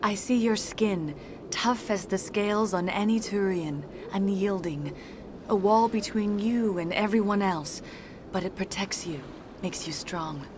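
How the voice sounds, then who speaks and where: An actress playing a serene, mystical alien courtesan in a video game, speaking formally and poetically. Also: female voice, mid-range voice, a little slow, background noise, lightly acted. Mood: solemn. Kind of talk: video game cutscene acting. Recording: music behind.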